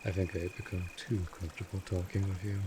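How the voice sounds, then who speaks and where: A man playing a cold, possessive vampire master in an audio drama, speaking in a formal, commanding, measured way. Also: low voice, flat delivery, background noise, natural voice. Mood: curt, authoritative. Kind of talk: scripted roleplay audio drama.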